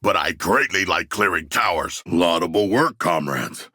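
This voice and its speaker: A huge humanoid shark warrior from a video game, with a raspy, gravelly voice that cuts through with bright force. He is solemn, literal-minded and hungry for battle. His phrasing is stiffly formal and grandiose, and it is recorded dry and close. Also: low-pitched, lively intonation, measured pace, no echo, full character voice. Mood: solemn, matter-of-fact. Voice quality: gravelly, rough. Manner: formal, stilted, grandiose diction with no contractions. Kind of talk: video game character voice lines.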